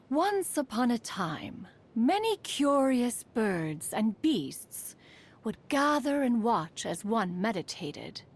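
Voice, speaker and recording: A woman's voice: fairly high pitch, heavily acted. A woman voicing a proud, refined, aloof ancient immortal in a video game, speaking formally and loftily. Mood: wistful. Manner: formal, haughty, calls herself "one". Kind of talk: scripted video game character voice lines. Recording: music behind the voice.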